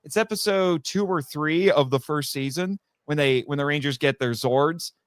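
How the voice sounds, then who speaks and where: A man's voice: a little high, moderate pace, clear, resonant tone, no room sound, clean studio sound. A man talking casually as a podcast guest and audio drama writer, chatty and rambling, with frequent asides.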